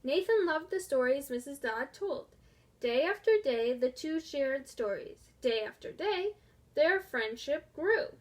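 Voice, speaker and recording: A woman reading a children's storybook aloud in a deliberate, expressive way. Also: sits high. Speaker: storybook reader. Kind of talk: scripted children's story narration.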